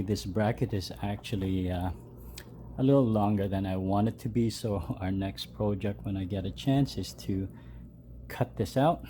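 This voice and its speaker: A man with a fairly low, very mellow voice walks through a hands-on job step by step. His delivery is casual and unscripted, a little hesitant, like a hobbyist showing how it is done. Some ambient noise sits behind him. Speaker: host of a DIY truck modification channel. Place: home garage.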